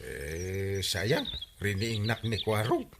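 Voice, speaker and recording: An actor playing an excitable, stubborn village captain with an Ilocano Filipino accent in a radio comedy drama, animated and exclamatory. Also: male voice, on the low side, slow pace, vocal fry, noisy background, full character voice. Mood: excited, insistent.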